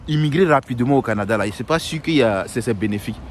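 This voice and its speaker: A man's voice: mid-range voice, expressive, fast talker, a little hiss or hum. A young man giving earnest, repetitive advice in a casual monologue, often restarting his sentences.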